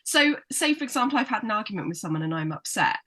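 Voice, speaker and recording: A woman psychotherapist, a guest on a podcast, explaining things warmly and sometimes acting out her inner critic. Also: mid-range voice, very animated, fast talker. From United Kingdom. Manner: warm, explanatory, acts out inner voices. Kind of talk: casual chat, explaining.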